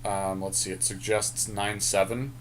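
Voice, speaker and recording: A man's voice: on the low side, level tone, measured pace, slight background noise. A man co-hosting a podcast, chatting casually and thinking aloud in informal slang as he talks through a card game draft. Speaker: podcast co-host.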